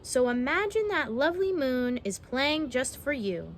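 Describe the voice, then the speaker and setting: A woman voicing a kindly little male cricket in a storybook, giving gentle, soothing advice. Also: high-pitched, sing-song, a little slow, slight background noise, exaggerated voice. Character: kindly small male cricket, wise and calm.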